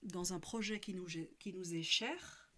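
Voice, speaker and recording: A woman's voice: on the low side, unhurried, pushed and bright. Hesitant woman giving a spiritual talk. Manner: hesitant, many fillers and restarts. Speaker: religious speaker. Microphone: live stream.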